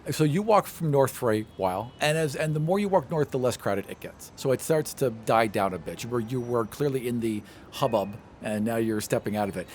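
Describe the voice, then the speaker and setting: A man with a mid-pitched voice runs a tabletop fantasy game. He describes scenes conversationally and improvises as he goes, with clear noise behind him. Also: expressive, a little fast, hardly any pauses, a little mellow. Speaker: game master. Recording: clearly noisy recording.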